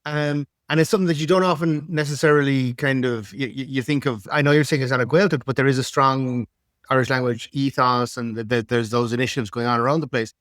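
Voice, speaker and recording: A man's voice: mid-range voice, fairly even intonation, fast talker, a bit bright, no room sound, studio quality. Stammering eager male podcast host. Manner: stammering restarts, eager rising questions. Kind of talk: interviewer, casual chat.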